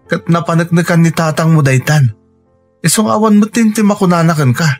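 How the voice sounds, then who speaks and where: An actor playing a steady, supportive young Filipino man with an Ilocano accent, reassuring in a radio drama. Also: on the high side, fairly quick, soft background music. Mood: reassuring, advising.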